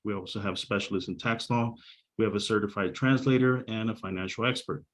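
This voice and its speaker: A man's voice: lowish voice, fairly quick. A man giving an informal professional presentation as an immigration lawyer.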